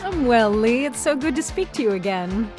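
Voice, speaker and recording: A woman's voice: on the high side, sing-song, normal speed, a little mellow, soft background music. A woman chatting casually as a podcast interviewer, feeling her way through phrases. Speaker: podcast interviewer, audio drama director and actor.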